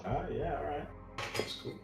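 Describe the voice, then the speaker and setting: A man's voice: on the high side, normal speed, creaky, over loud music. A man playing a tabletop roleplaying game in slangy, casual, profanity-laden talk, recorded in an echoey room. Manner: slangy, heavily sweary. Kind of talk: casual improvised in-character game chat.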